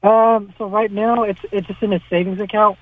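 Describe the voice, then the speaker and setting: A man's voice: high voice, flat delivery, moderate pace. Hesitant young man on a tinny phone line. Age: 30s. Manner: hesitant, many fillers. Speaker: caller. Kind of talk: phone-in question. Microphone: telephone.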